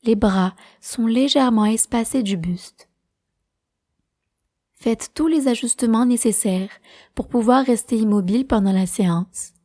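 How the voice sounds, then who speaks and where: Slow, mellow woman guiding a meditation. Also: fairly high pitch. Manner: calm, measured, soothing instruction. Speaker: guided meditation teacher. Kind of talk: slow guided prenatal meditation narration.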